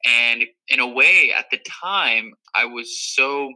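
A man's voice: mid-range voice, takes it slowly, pushed and bright, poor audio. A young man from Miami, a guest in an interview, talking earnestly and reflectively with many fillers. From Miami, Florida. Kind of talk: casual interview talk.

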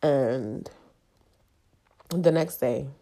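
Congested low-voiced woman podcast host. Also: warm tone. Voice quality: nasal. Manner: halting, confessional, with frequent fillers. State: congested from a long cold. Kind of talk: candid personal monologue.

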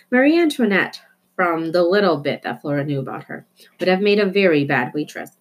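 Woman reading a children's book aloud, lowish and breathy, playful emphasis. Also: low-quality recording. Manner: expressive storytelling, playful emphasis. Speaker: reader.

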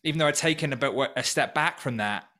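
A man's voice: mid-range voice, quick pace, bright, forceful tone. A man in his thirties from North Wales, a podcast guest telling his own story in an earnest, reflective way. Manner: earnest, reflective, open about hard past. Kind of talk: personal story in a podcast interview. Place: temporary remote location.

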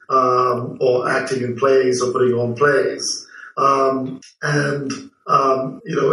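A man in his forties with a mid-pitched voice speaks as a guest, reflective and rambling as he tells stories. He sounds set back from the mic, in a roomy, echoing space. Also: fairly bright tone, recorded from a distance. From Malaysia and London. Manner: reflective, storytelling with many fillers. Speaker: guest, TV producer and writer. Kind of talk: relaxed interview answers.

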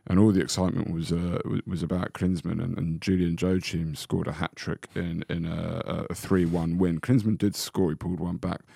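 A man's voice: deep voice, flat delivery, normal speed, gravelly, hardly any pauses, warm tone, husky, dry recording. A man co-hosting a casual fan podcast, opinionated and heated, with frequent restarts when he gets going. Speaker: podcast co-host.

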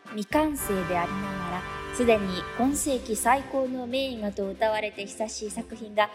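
A woman's voice: mid-range voice, normal speed, over music, natural voice. An actress playing a female announcer at an art unveiling, speaking in a formal, ceremonious way in a radio drama. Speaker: actor.

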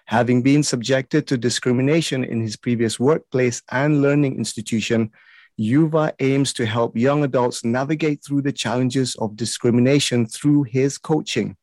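A man from Malaysia hosting a podcast interview and chatting in a relaxed, friendly way. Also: medium pitch, normal speed, dry recording. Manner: energetic, announcer-like intro, then relaxed chat. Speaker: podcast host and life coach.

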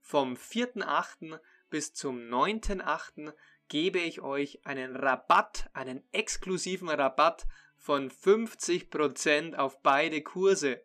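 A man who teaches German online, making a direct sales pitch to his learners for a course discount. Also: pushed and bright, soft background music. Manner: slow, deliberate, addresses the listener directly.

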